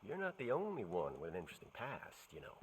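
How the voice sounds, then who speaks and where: An actor playing a roguish, teasing adventurer in a video game, with wry delivery and folksy old-fashioned slang. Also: male voice, lowish voice, huge pitch swings, quick pace, slight background noise. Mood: coaxing. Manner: wry, playful. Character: roguish, teasing adventurer man with frontier swagger. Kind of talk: video game cutscene acting.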